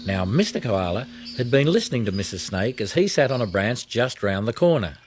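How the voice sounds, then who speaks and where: A man narrating a children's audiobook with expressive storytelling delivery. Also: lowish voice, fairly quick, slight background noise.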